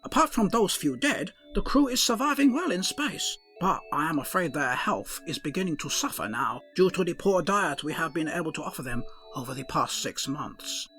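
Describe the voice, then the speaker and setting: A man's voice: fairly high pitch, lively intonation, normal speed, hardly any pauses, sharp tone, soft background music. A man voicing a fussy, earnest male ship's doctor who speaks formally in an odd, blended put-on accent, for a comic audio drama.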